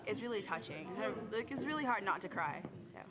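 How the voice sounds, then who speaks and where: A woman's voice: mid-range voice, lively intonation, fairly quick, crowd noise. A young woman, a music student, speaking in an emotional, gushing way in a short interview. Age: young adult. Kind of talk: interview soundbite.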